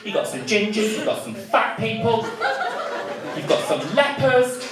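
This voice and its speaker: A man's voice: high-pitched, expressive, measured pace, over music. A man doing a stand-up set, camp and cheeky, teasing the crowd. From Manchester, England. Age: 30s. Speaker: stand-up comedian. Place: comedy club stage. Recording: echoey club room, distant, loud audience noise.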